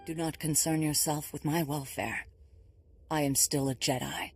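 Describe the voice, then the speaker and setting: A man voicing a weary, wise Jedi master in a video game, measured and formal. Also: on the high side, steady tone, slightly rough. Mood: solemn. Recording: music playing behind.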